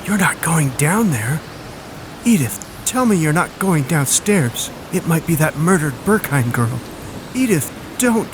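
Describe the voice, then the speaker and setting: A man's voice: fairly high pitch, big pitch swings, measured pace, background noise, pitched above the performer's own voice. A man voicing a frightened young girl, anxious and pleading, in a dramatic horror story reading. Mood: panicked pleading.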